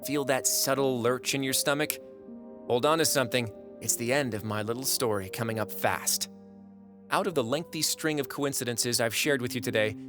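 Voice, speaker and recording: A wry, folksy storyteller played by a man muses straight to the listener in a mid-pitched voice. He narrates an audio drama like a colloquial showman, with music clearly playing underneath. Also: natural voice.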